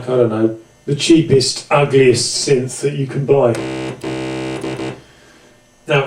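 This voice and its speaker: Man, tutorial presenter, medium pitch, conversational, hesitant pauses, echoey room sound. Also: a little mellow. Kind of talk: informal hands-on tutorial narration. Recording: roomy echo, distant microphone.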